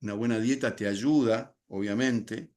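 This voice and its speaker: Older argentine guy on a podcast, talks slow and thoughtful, lots of este and eh. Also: lowish voice, a little mellow. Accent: Argentine Spanish. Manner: reflective, explanatory, with filler words and restarts. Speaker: guest. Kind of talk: podcast interview.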